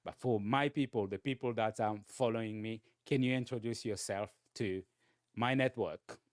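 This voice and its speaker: A man hosting an interview show in a conversational way, often halting and repeating his phrases. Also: fairly low pitch, dry recording, studio quality. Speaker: talk show host interviewing a guest.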